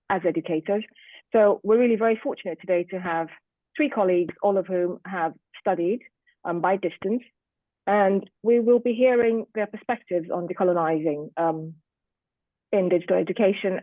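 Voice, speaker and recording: A woman's voice: lowish voice, dry recording. A woman chairing an online panel in a formal moderating style, heard over muffled, lo-fi call audio. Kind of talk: formal event moderation, addressing an online audience. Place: online video meeting.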